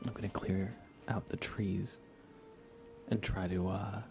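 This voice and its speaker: A man speaking softly and unhurriedly, often half-whispering, as he rambles through a live ASMR gaming stream. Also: sits low, level tone, slow, soft background music. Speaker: livestream host. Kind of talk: soft-spoken ASMR game livestream chat.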